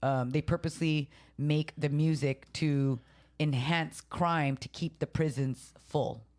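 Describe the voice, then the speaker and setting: A woman's voice: low-pitched, slow. A woman raised in San Jose, chatting casually on a podcast, blunt and swearing freely. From raised in San Jose, California. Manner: blunt and swearing, tells stories with lively quoted voices. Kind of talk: casual chat.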